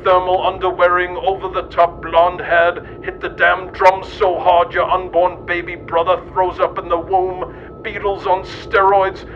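A middle-aged man reading scripted comic narration like a storyteller, in a mock-grandiose, theatrical manner. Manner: mock-grandiose, theatrical delivery of absurd text. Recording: dull lo-fi sound with rumbling noise.

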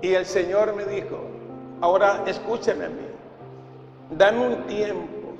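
An older man delivers an impassioned sermon in a very high voice. He is emphatic, repeating phrases and posing rhetorical questions. The sound comes through a handheld mic in a reverberant church hall, with music clearly present behind him. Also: steady tone, measured pace, muffled recording. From Ecuador. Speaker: pastor. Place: large church hall, indoors, before a congregation. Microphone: handheld microphone through PA.

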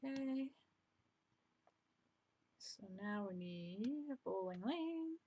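A young woman casually commenting on her own drawing as she works, chatty and self-deprecating, with quiet muttered asides, recorded at home on a desk mic. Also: fairly high pitch, animated, drawn-out words. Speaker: drawing vlogger. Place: home room, indoors.

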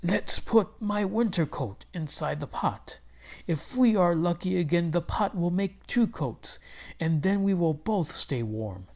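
A woman's voice: fairly low pitch, animated, measured pace, a little mellow, pitched above the performer's own voice. Old male farmer, played by a woman, mild, marveling, earnest, exclamatory, storybook character. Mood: excited.

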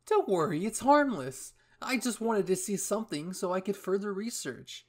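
A man voicing an excitable, dramatic female military scientist in a story reading, with a theatrical, effusive manner. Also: high voice, normal speed, voice pushed up. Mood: cheerful, eager.

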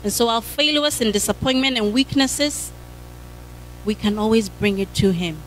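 A woman's voice: a little high, normal speed, slight background noise. A woman in her thirties preaching a sermon with a handheld mic in a church hall, fervent and punctuated by hallelujahs.

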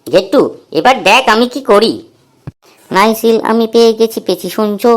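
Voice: mid-range voice, moderate pace, close to an everyday voice. A performer voicing cheeky, playful schoolboys in a comedy cartoon. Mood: teasing.

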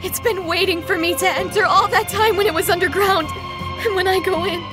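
A woman's voice: extremely high pitch, steady tone, a little fast. A woman voicing a frightened, obsessed young woman in a horror manga dub, trembling and hesitant. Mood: fearful. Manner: trembling, hesitant, dread-filled. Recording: loud background music.

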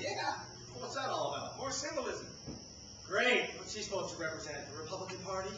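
An actor playing a bickering, profane theatergoer in a loud comic stage play. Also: male voice, high voice. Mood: curious. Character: two bickering male theatergoers, one crude bored skeptic, one earnest explainer. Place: live summer theater stage. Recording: distant, echoey, noisy live theater recording.

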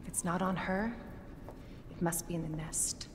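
A woman's voice: lowish voice, fairly even intonation, moderate pace, natural voice. An actress playing a cool, businesslike female agent reporting in during a dramatic video game cutscene. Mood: cool, focused. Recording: reverberant space, loud ambient noise.

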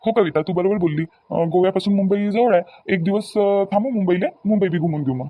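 Man acting a high-pitched, coaxing young cartoon husband. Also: fairly quick, narrow, tinny audio. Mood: easygoing. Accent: Vidarbha Marathi (Varhadi). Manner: insistent, repetitive coaxing. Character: young newlywed husband, reassuring, doting, a little impatient. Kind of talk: cartoon dialogue acting in colloquial dialect.

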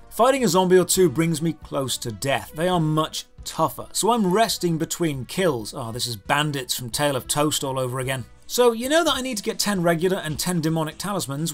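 Male game reviewer, high voice, very lively intonation, sarcastic scripted narration, exasperated delivery.

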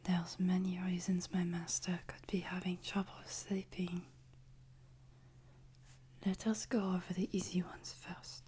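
A woman playing a soothing, devoted French maid in a whispered ASMR sleep-aid roleplay, with a French accent and a soft, breathy delivery. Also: on the low side, little pitch movement, a little slow, lightly acted. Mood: gentle, attentive. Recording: noticeable background noise, ambience.

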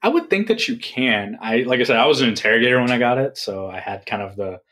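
A man answering interview questions in a relaxed, conversational way, often checking in with "right?". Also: mid-range voice, fast. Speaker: podcast guest.

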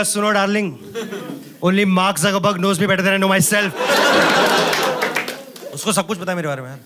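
Guy doing stand-up on a club stage, pretty high voice, handheld mic, loud crowd laughing and noise. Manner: animated, gestures a lot, teases the audience. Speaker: stand-up comedian. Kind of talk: stand-up comedy set. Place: comedy club stage before a live audience. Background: audience laughter.

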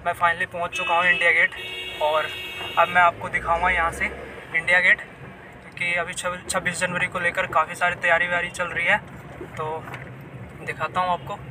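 Young man from Bihar, high flat voice, casual phone vlog over loud street traffic. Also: normal speed. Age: young adult. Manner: chatty, repeats himself, mixes in English words. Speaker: travel vlogger. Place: outdoors in the city, then inside a moving car. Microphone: phone held at arm's length. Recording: city traffic noise behind.

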